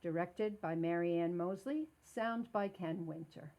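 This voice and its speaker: A woman announcer delivering scripted credits. Her voice sits slightly low, and she reads in the formal manner of a radio theater sign-off. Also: a little slow, dark tone, faint music behind.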